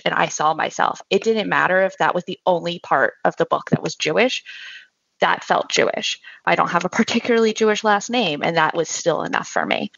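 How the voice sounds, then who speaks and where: A woman's voice: lowish voice, creaky, fairly bright tone, no echo. A woman on a podcast panel, speaking reflectively and personally. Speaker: panel guest. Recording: dry, slightly dull audio.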